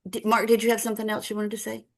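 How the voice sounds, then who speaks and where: A woman's voice: on the high side, monotone, very fast. A woman acting as interviewer, putting questions to her guests in conversation.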